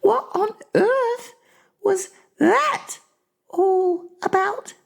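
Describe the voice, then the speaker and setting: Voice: very high-pitched, extremely slow, vocal fry, voice pushed up, heavily acted. A performer voicing an earnest, plaintive little orphan girl in a children's audiobook, polite and plain-spoken. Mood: curious.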